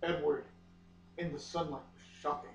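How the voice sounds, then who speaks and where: A man giving an overwrought, melodramatic comic reading of a novel with lingering dramatic pauses. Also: on the high side, steady tone, slight background noise. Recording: echoey room, far from mic, muffled.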